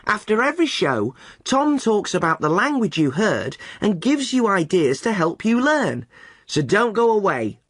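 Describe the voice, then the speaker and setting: A woman's voice: mid-range voice, sing-song, a little slow, dry recording, clean studio sound. A woman presenting a scripted podcast for learners as its host.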